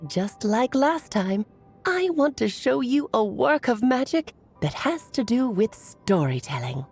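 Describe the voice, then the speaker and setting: A theatrical, high-voiced whimsical lady mage. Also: very animated, unhurried, a little mellow, husky, full character voice. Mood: knowing, dramatic. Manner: theatrical, teasing, grandiose. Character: playful theatrical grown woman mage, whimsical storyteller aunt. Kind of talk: video game voice acting. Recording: music behind the voice.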